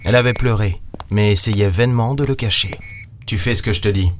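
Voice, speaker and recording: An actor playing a weary, colloquial space captain who narrates and talks in a science-fiction audio drama. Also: male voice, lowish voice, brisk, slight background noise, lightly acted. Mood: grave. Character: world-weary male space captain, last human in the galaxy. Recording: music under the voice.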